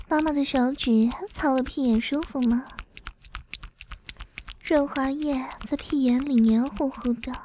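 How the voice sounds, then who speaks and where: A woman voicing a dominant, teasing middle-aged mother-in-law in an intimate adult audio roleplay, often slipping into breathy whispers and giving slow commands. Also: high voice, dark tone, slight background noise, low-quality recording.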